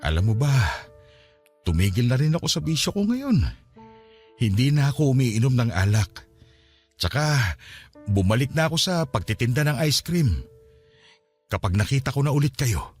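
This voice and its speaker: A man's voice: medium pitch, sing-song, normal speed, pauses often, lightly acted. An actor playing a remorseful father of grown children in a radio drama, hesitant and apologetic as he pleads. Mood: pleading, hopeful. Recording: dramatic music behind the voice. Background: music.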